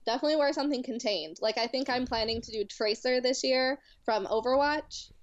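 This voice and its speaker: A chatty woman in her twenties from Louisiana talks casually about her hobby, her voice sitting a little high. The recording is dry and a touch muffled. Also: brisk, no room sound. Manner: chatty, heavy with "like" fillers. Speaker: guest cosplayer. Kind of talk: casual chat.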